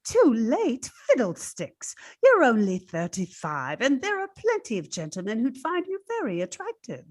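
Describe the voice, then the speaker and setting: Lively, coaxing actress as a scheming maid. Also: female voice, a little high, normal speed. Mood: goading. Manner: coaxing, conspiratorial, emphatic. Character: scheming, cosy, outspoken female household servant. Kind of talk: old-time radio drama acting.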